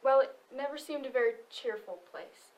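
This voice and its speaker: A woman's voice: high-pitched, moderate pace, close to an everyday voice. A woman acting in a stage play as a blunt, defensive farm wife with a dry, folksy manner. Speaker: stage actor. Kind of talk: amateur stage play dialogue. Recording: distant microphone, noticeable room noise.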